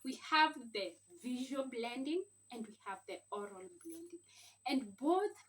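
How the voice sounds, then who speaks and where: Female instructor for home-learning video: high voice, unhurried explanatory delivery, rhetorical questions, recorded a little away from the mic. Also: animated, fairly bright tone. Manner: deliberate, sounds out letters and words, asks rhetorical questions. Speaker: teacher giving a home reading lesson. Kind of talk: informal instructional explanation to parents. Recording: slightly distant microphone.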